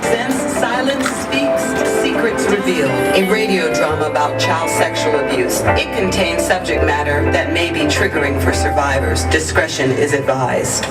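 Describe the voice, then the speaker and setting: A woman's voice: low voice, normal speed, hardly any pauses. A woman announcer reading a scripted radio program message. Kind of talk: scripted radio disclaimer and outro. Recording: echoey, distant, loud music.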